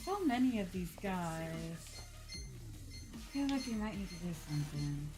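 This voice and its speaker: A woman streams a video game, casually reacting and thinking aloud. Her pitch moves around a lot, and game music plays clearly behind her voice. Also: expressive, measured pace, low-quality recording. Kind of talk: casual live game commentary, reading on-screen names. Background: video game music and dialogue.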